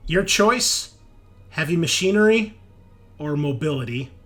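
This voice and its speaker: A man's voice: on the high side, animated, a little slow, fairly bright tone. A man acting as game master, narrating a tabletop roleplaying game and explaining rulings to players in a conversational way. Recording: music playing behind the voice.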